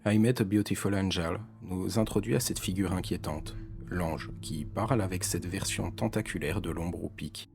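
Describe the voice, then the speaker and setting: A man giving scripted explanatory narration in French video-essay style, with a measured, serious storytelling manner. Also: low-pitched, vocal fry. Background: background music.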